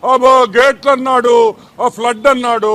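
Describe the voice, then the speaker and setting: A man's voice: very high voice, fairly even intonation, normal speed, pushed and bright. A man giving mocking political commentary in a sarcastic, colloquial way.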